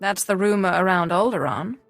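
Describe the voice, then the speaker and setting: A woman's voice: medium pitch, fairly quick, dry recording, soft background music, natural voice. An actress playing a confident young female Sith in a sci-fi video game, dry and teasing. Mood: probing. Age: young adult.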